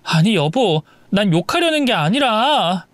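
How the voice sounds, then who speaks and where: A man's voice: sits high, big pitch swings, unhurried, fairly bright tone, no echo, pitched above the performer's own voice, heavily acted. A man voicing a timid, apologetic pregnant young wife. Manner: apologetic, hesitant.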